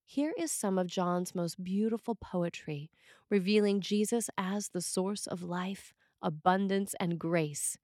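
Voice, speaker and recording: A woman priest reading a scripted sermon in measured, deliberate phrases. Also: medium pitch, darkish tone, dry recording, clean studio sound.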